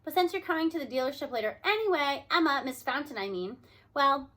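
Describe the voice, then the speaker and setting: A woman's voice: sits high, animated, a little fast, sharp tone. A woman voicing a breezy, apologetic man filling in as a substitute teacher, upbeat and slightly flustered.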